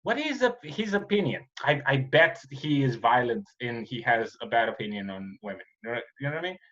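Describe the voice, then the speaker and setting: A man with a Romanian accent talks through a casual podcast chat in an earnest, halting way, restarting his sentences often. His pitch sits in the middle range. The sound is a little dull and lo-fi. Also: lively intonation, a bit bright. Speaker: podcast host, comedian.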